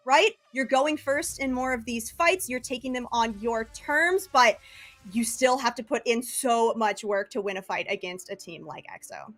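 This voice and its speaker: A woman with a distinctly high voice provides analytical commentary on a live video game match. She explains things in a conversational way. Faint music sits behind her. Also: a bit bright. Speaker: esports commentator.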